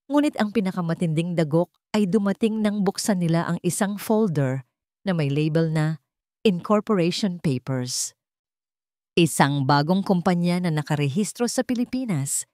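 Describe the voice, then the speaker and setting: A woman narrating a scripted dramatic story in a measured, dramatic storytelling manner. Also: on the low side, darkish tone, dry recording, studio quality.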